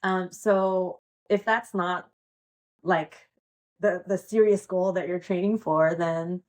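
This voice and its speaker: A woman's voice: on the low side, fairly even intonation, slow, darkish tone. A woman pole dance instructor, a guest on a podcast, talking casually in a rambling way with many fillers and laughs. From United States. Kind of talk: casual interview chat.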